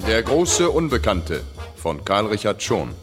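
A man's voice: fairly low pitch, big pitch swings, a little slow. A man making formal station announcements in the style of an old radio broadcast. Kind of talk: vintage station identification announcing. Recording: archive radio clip with loud music. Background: loud music.

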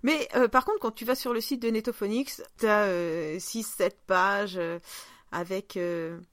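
A woman's voice: medium pitch, unhurried, no room sound, studio quality. A woman co-hosting a podcast in casual chat, informal and often searching for her words. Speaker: podcast co-host.

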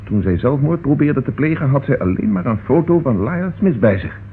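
A man's voice: medium pitch, expressive, fairly quick, a little hiss or hum, lightly acted. Male detective acted, insistent, earnest, radio play, mid-range voice, phone-like old recording.

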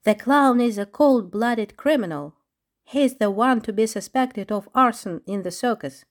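A woman's voice: mid-range voice, unhurried, a little mellow, lightly acted. A woman voicing a frightened little wooden doll in a storybook, urgently warning.

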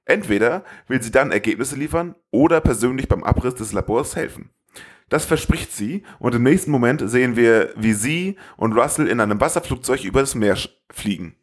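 Brief: male podcast co-host, mid-pitched, scripted movie retelling with playful asides. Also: expressive, brisk. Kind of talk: scripted film recap narration with playful asides.

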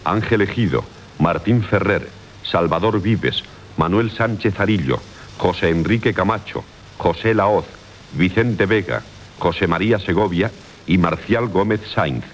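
A male radio announcer with a fairly low voice. He reads credits in a formal, scripted style. The 1980 broadcast recording carries a faint hiss. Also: a little slow.

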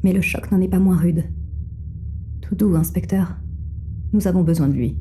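Actress doing a composed detached lady narrator guide in an audio drama, lowish super dark soft-toned voice, formal. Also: moderate pace, a little hiss or hum, natural voice. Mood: cool. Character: cool, composed, controlling female guide and narrator.

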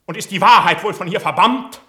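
An actor playing a proud, hot-blooded young poet in a classical German verse radio play, declaiming fervently. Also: male voice, sits high, brisk. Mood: outraged. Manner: declamatory, fervent, swinging from hushed to outraged.

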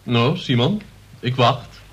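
Pompous, domineering uncle, wildly varying pitch. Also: male voice, animated, slow, husky. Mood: haughty, threatening. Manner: pompous, condescending, formal wording. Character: pompous, domineering town alderman, overbearing uncle. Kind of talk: Dutch radio play acting. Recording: noisy old radio play recording.

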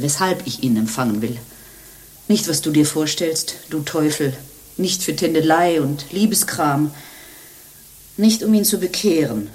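An actress in a German radio play playing a proud, scornful young nun who speaks emphatically. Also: female voice, sits low, darkish tone. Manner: emphatic, haughty, declamatory.

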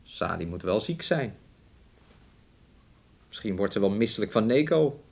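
A man's voice: on the low side, animated, a little slow, gravelly, darkish tone, slightly rough, heavily acted. An actor in a Dutch radio play playing a controlling, possessive man who coaxes and needles with repeated questions. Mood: brooding, sullen. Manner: coaxing, needling, repetitive questions, refers to himself in third person. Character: possessive, controlling man keeping his sick fiancée hidden away.

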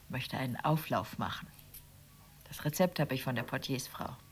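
An actress playing a chatty middle-class Roman wife and would-be writer in radio play dialogue. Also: female voice, very deep voice, normal speed, slight background noise. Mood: casual, domestic.

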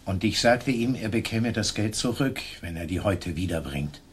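An actor playing a wronged old Franciscan friar in a radio play, resigned but defiant, speaking in plain statements. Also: male voice, expressive, moderate pace, close to an everyday voice. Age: older. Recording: low rumbling background noise.

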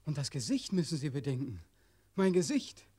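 Bitter young war returnee, higher-pitched. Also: male voice, very animated, normal speed, warm tone, slightly rough. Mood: resigned, self-mocking. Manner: sardonic, plaintive. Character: bitter, desperate young German soldier home from war. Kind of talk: 1940s German radio play acting. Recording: vintage 1940s radio broadcast recording.

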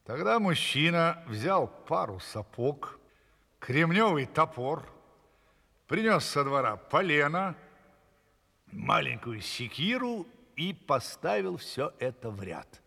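Animated, husky male storyteller. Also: on the high side, slow pace. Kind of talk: expressive fairy-tale narration. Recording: long hall-like reverb.

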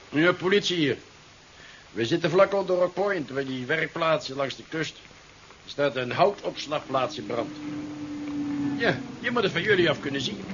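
An actor playing a gruff, plain-spoken, officious policeman in a 1970s radio drama. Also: on the high side, fairly bright tone. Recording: muffled, noisy 1970s radio recording.

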